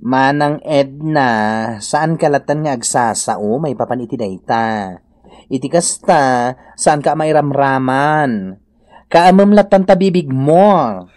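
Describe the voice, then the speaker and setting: A man's voice: medium pitch, lively intonation, slow, a little mellow. A man with a northern Philippine accent playing an easygoing, camp hairdresser in a comedy radio drama. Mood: cautioning.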